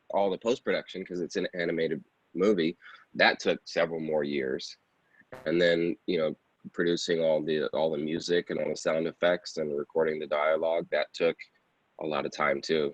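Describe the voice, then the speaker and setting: A man giving a casual interview as a guest, talking informally about his own work. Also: low-pitched. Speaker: guest, actor and film producer. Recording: roomy echo, slightly muffled sound.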